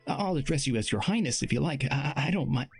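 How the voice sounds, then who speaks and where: A man's voice: medium pitch, lively intonation, quick pace, creaky, slightly rough, faint music behind, pitched above the performer's own voice. A man narrating a story and voicing an exuberant teenage boy who gushes and stammers in a raised voice. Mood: excited. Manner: gushing, stammering.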